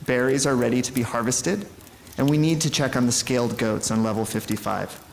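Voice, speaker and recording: A man playing an older, wise and patient station caretaker in a sci-fi audio drama, measured and philosophical. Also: medium pitch, vocal fry, a little hiss or hum, lightly acted. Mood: serene, gentle.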